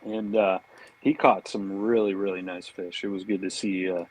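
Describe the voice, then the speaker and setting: A man talks fishing in a casual, laid-back style full of slang. His voice is fairly low and husky, and he often repeats himself as he goes. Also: little pitch movement, normal speed, rough recording. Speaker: podcast guest, fishing tackle marketer.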